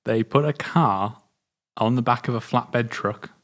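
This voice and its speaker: British guy, mid pitch, chill, chatting film trivia with his mate and laughing a lot. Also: unhurried. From Britain. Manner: relaxed, shares trivia, laughs often. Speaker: co-host of a film review show. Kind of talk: casual film chat.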